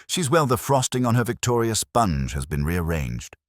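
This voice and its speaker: A man's voice: on the low side, big pitch swings, normal speed, no echo, clean studio sound, voice pushed up. A man voicing a flustered, anxious village woman with a breathless, quavering delivery for a radio-style mystery story. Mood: flustered, urgent. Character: flustered, gasping village woman bringing news of an incident.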